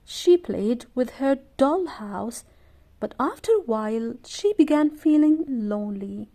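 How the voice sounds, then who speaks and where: A woman reading a children's story aloud in an expressive, deliberate way for young listeners. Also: fairly high pitch. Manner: animated character voices, deliberate phrasing.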